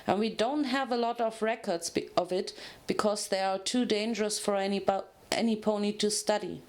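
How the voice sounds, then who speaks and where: A woman's voice: mid-range voice, steady tone, moderate pace, lightly acted. A woman voicing a kind, studious female unicorn pony, earnest and articulate, in a story reading. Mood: explaining.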